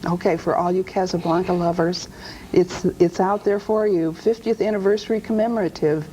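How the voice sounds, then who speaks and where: A woman's voice: fairly low pitch, fairly even intonation, moderate pace, mellow, a little hiss or hum, poor audio. A woman hosting a television talk show, gracious and upbeat as she introduces guests and asks questions.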